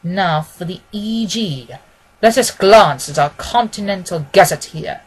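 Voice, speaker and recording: A man voicing a self-assured male detective in an audiobook, keenly observant and gently mocking, with an emphatic, didactic manner. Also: high-pitched, measured pace, breathy edge, low-quality recording. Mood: instructive. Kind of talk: dramatised audiobook reading.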